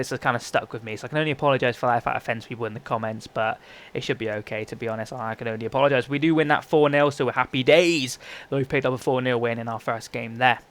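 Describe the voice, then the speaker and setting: A young man talks quickly through his football game footage, often stopping to correct himself. His voice sounds phlegmy and congested from a cold. His pitch sits in an ordinary middle range. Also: a bit bright. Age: young adult. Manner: chatty, rambling, frequent self-corrections. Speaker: gaming YouTuber. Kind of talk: casual gameplay commentary.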